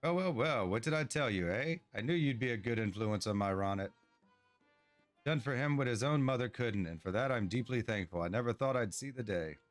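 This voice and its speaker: A man's voice: medium pitch, expressive, fairly quick, no room sound, soft background music, lightly acted. A man voicing a fond, folksy old dwarf mother in a fantasy game. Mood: proud, grateful. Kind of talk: game dialogue read aloud.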